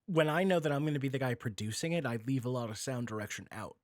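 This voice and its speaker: A man in a casual podcast chat as a guest, talking in an animated, self-mocking way with free swearing. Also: medium pitch, fast. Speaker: podcast guest, audio drama writer and producer.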